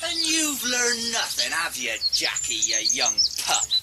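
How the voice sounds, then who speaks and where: A man's voice: a little high, huge pitch swings, unhurried, very noisy. A man acting a stern, superstitious village morris dancer in a radio drama, scolding in an emphatic rural southern English dialect. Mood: solemn, reproving.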